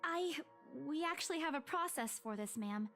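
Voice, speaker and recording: A man's voice: extremely high pitch, lively intonation, normal speed, sharp tone, faint music behind, pitched above the performer's own voice, exaggerated voice. A man voicing a young female estate agent who is polite but stammers when flustered. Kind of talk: voicing a visual novel.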